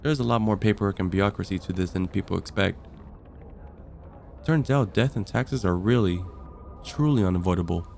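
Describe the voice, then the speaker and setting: Casting: male actor as a calm, dryly amused vampire caseworker for ASMR roleplay, lowish register, friendly and explanatory, ambient noise behind. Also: normal speed, vocal fry, pauses often. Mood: friendly, reassuring. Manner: calm, explanatory, gently wry. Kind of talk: ASMR roleplay, conversational acting.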